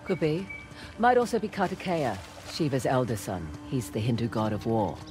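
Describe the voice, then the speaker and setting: A woman's voice: rumbling low, big pitch swings, moderate pace. An actress playing a wry, adventurous female treasure hunter in a video game, with dry, knowledgeable, teasing delivery. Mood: curious. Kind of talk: video game acting, naturalistic dialogue. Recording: game soundtrack music underneath.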